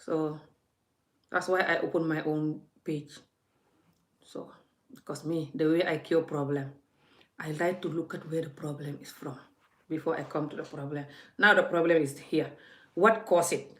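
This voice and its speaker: A woman with a fairly low voice delivers a heated vlog rant, scolding and forthright, talking straight to her viewers. The room around her rings with a noticeable echo. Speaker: vlogger. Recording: echoey room.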